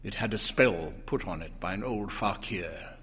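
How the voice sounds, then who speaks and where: A man voicing a burly middle-aged sergeant major in a story reading, reticent and solemn, with a quiet, offhand manner. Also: lowish voice, expressive, moderate pace, a little hiss or hum. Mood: grave. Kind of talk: dramatized short-story reading. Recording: reverberant hall.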